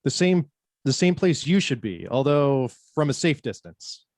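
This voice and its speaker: A man playing a brisk, commanding newspaper editor in an amateur script table read. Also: medium pitch, sing-song, darkish tone, dry recording, studio quality. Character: brisk, bossy male newspaper editor chief.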